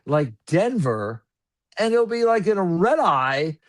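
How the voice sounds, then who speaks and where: A man who calls hockey games, chatting casually on a podcast in a rambling, filler-heavy, animated way. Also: sits high, takes it slowly. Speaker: hockey broadcaster guest.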